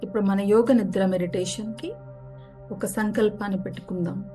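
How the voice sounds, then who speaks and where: A woman gently guides a relaxation meditation, giving unhurried step-by-step instructions. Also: mid-range voice, steady tone. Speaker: yoga nidra guide. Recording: music behind the voice. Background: background music.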